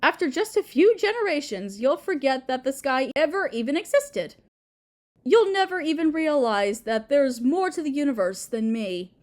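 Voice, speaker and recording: A woman's voice: high-pitched, very animated, normal speed. A woman voicing a doting, possessive and insecure Mother Earth in an intimate roleplay audio drama, tender, hesitant and sweetly overbearing. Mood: sweetly sinister.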